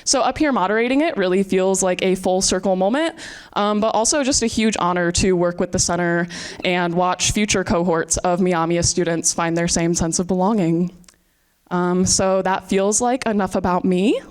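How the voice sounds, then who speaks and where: A woman's voice: on the low side, normal speed, smooth. A woman in her twenties with an American accent, moderating a panel and introducing it to an audience. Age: 20s. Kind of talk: prepared introduction to a live panel.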